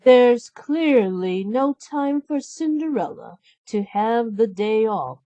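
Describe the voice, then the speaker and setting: A woman's voice: a little high, very animated, slow pace, mellow, no room sound, dull audio. A woman voicing a mean, haughty stepmother in a children's storybook, commanding and disdainful. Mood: scheming. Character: mean, scheming, haughty middle-aged stepmother.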